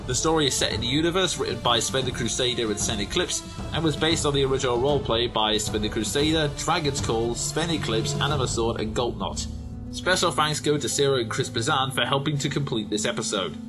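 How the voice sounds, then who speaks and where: A man's voice: mid-range voice, brisk. Man announcing credits over music. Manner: plain, steady reading. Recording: background music under the voice.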